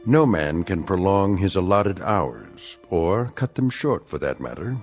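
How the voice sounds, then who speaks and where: A grave, knowing narrator for an eerie radio play. His very low voice moves expressively through measured, portentous lines over prominent music. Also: animated. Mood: solemn. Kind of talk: scripted radio drama narration.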